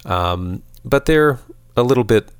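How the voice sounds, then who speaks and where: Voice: mid-range voice, expressive, measured pace, clear, resonant tone. An interviewer hosting an informal on-location conversation, talking in an encouraging, conversational way. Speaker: interviewer, host. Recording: busy crowd chatter behind. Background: crowd chatter.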